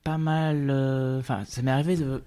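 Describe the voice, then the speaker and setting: A man's voice: medium pitch, flat delivery, moderate pace, warm tone, smooth. A man taking part as a guest in a radio discussion, affirming and then summarizing.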